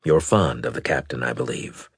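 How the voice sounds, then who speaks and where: A very low, husky admiral , as a male narrator plays him, big, gruff and commanding. He speaks deliberately and skeptically, like a senior officer questioning a subordinate, in a dry audiobook recording. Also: no echo, full character voice. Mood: probing. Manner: deliberate, authoritative, probing. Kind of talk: audiobook character dialogue.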